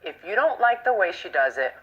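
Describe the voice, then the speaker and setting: Bright, animated woman co-host. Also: fairly low pitch, fairly quick, lo-fi sound. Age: late 20s. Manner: animated, playful storyteller. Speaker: talk show co-host. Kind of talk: lively panel chat and segment intros.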